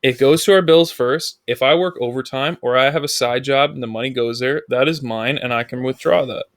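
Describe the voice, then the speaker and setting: Man, mid pitch, earnest, casual chat, dry sound. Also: fairly quick, no room sound. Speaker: podcast co-host.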